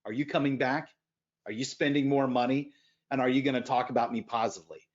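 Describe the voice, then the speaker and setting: A man speaking as a podcast guest in animated conversation, emphatic, with loud bursts and quieter asides. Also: mid-range voice, fast, muffled recording.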